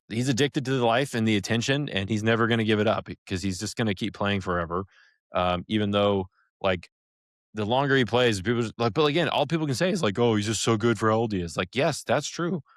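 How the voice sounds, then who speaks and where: A man's voice: on the low side, no echo, studio quality. An American man co-hosting a podcast in casual chat, opinionated and emphatic in the way he talks. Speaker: podcast co-host.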